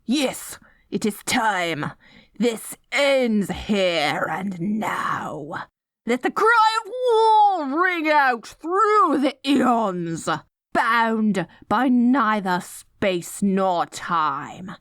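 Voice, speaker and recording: A woman's voice: on the high side, very animated, drawn-out words, a bit bright, no room sound, clean studio sound, exaggerated voice. A woman playing a dorky, melodramatic young evil queen in an audio roleplay, stammering when flustered and grandiose in her villainy. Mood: grandiose, defiant. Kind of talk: scripted ASMR audio roleplay.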